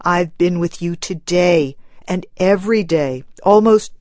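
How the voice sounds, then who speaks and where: A woman voicing a gentle, worried husband. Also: medium pitch, big pitch swings, slow, no echo, clean studio sound, voice pushed up. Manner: gentle, soothing, coaxing. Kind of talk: audiobook character reading.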